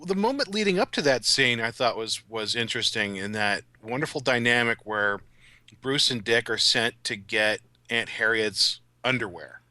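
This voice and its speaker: A man's voice: medium pitch, animated, normal speed, pushed and bright, no echo. A man talking analytically and casually as a podcast commentator.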